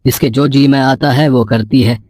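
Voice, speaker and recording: A man's voice: medium pitch, fairly even intonation, moderate pace, a little mellow, a little hiss or hum, close to an everyday voice. A man voicing a middle-aged father and uncle in a moral story, earnest, worried and admonishing. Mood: consoling.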